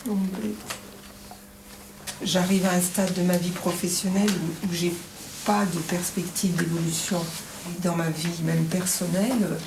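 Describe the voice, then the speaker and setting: Gravelly, weary middle-aged woman, far and echoey. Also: on the low side, steady tone, measured pace. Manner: weary, complaining, hesitant. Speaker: retreat participant. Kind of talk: sharing in a group circle. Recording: roomy, distant mic, clear noise.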